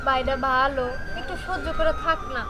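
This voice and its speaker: A woman's voice: sits high, steady tone, moderate pace, music in the background, crowd noise, natural voice. A woman voicing a poor, earnest young girl who pleads for her hungry brother in a Bengali cartoon. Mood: pleading, worried.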